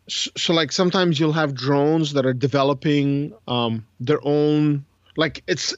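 A man's voice: fairly high pitch, dry recording, highs cut off. A man co-hosting a casual podcast, explaining at length and correcting himself as he thinks aloud. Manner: rambling, thinks aloud with many self-corrections. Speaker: podcast co-host. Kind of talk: casual podcast chat about strategy games.

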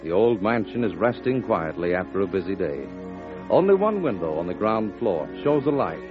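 A man's voice: lowish voice, big pitch swings, moderate pace. A man with an American accent introducing a 1940s radio show as a formal, dramatic announcer. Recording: muffled vintage radio recording. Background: loud-ish music.

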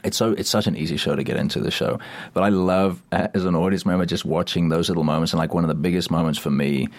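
A man's voice: deep voice, steady tone, fast talker. A man talking casually as a guest on a panel chat, animatedly retelling moments and acting out little quotes. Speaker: actor guest on a TV show recap.

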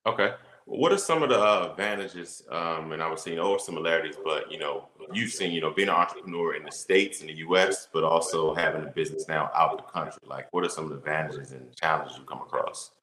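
Deep-voiced, fast-talking podcast host. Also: male voice, bright, forceful tone, a little hiss or hum. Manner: rambling questions full of fillers. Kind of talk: interview questions. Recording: echoey room.

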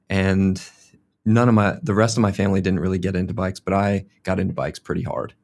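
American male scientist, 30s, very deep voice, laid-back guest with filler-heavy speech. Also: a little mellow. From United States. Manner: casual, uses many fillers like "like" and "kind of". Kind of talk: relaxed interview answers.

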